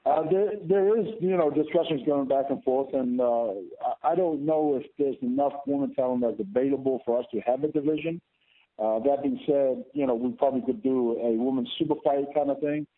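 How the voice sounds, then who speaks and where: A man's voice: medium pitch, fairly quick. A male fight promoter answering press questions over a phone line, hesitant and stumbling. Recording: tinny, narrow phone line.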